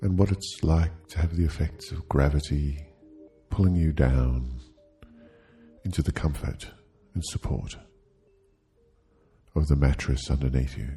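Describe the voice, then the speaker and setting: A man leading a guided sleep hypnosis, speaking soothingly and gently in short, halting phrases with long pauses. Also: rumbling low, steady tone, soft background music.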